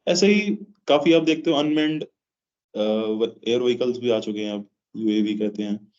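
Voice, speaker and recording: A man with an Indian accent explaining things in a measured way as an interview guest, pausing mid-phrase. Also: medium pitch, a little mellow. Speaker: interview guest, aviation professional. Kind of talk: explanatory interview answers in Hindi mixed with English. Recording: dull, lo-fi sound.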